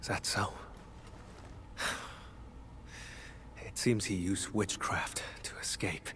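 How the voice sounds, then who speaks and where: Deep, grave game hero. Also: male voice, takes it slowly, background noise. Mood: weary, regretful. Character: grave, tormented male hunter, dogged and loyal. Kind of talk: dramatic video game voice acting.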